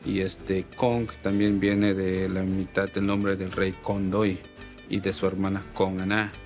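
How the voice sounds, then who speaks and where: Low raspy voiced guy from oaxaca, mixe, thoughtful, lots of "este". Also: completely flat delivery, measured pace, faint music behind. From Oaxaca, Mexico. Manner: reflective, with frequent "este" fillers. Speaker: artist. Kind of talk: interview answers.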